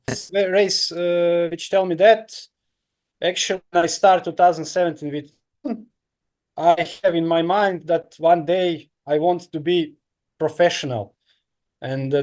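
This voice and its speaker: Croatian guy in his 30s, kinda high voice, halting english with lots of uhs. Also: a little mellow. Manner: halting non-native English with many fillers. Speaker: guest, professional triathlete. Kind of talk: casual interview answers.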